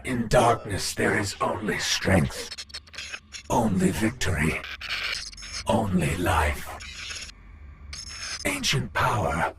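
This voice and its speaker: Seductive dark tempter, low, theatrical, grave, far from the mic, noisy backdrop. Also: male voice, huge pitch swings, slow, pauses often, exaggerated voice. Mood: grandiose, persuasive. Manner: theatrical, solemn, persuasive. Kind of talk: scripted video game cutscene acting. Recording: distant voice in clear background noise.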